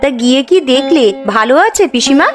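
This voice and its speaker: A woman plays a young cartoon housewife with a high, very animated voice. She frets and questions, sharp-tongued but caring. Music plays behind her. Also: a little fast. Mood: questioning, teasing. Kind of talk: cartoon voice acting.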